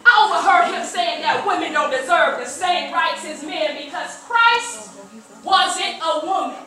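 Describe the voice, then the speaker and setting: Booming, high-pitched defiant woman orator. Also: sharp tone, soft background music, heavily acted. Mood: challenging, emphatic. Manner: declamatory folksy preaching with drawn-out emphatic phrases. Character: older formerly enslaved woman orator, bold and proud. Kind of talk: theatrical dramatic monologue performed live. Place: live performance in a church hall. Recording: echoey hall, distant microphone.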